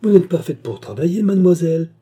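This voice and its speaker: A devoted older maidservant from a storybook, voiced by a man, fussing protectively over her mistress. The voice is raised in pitch yet soft and dark, with a husky grain, and it exclaims readily in deferential protest. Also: very animated, fast, full character voice. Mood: indignant. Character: devoted fifty-year-old peasant maidservant, fussy and indignant. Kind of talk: audiobook character dialogue.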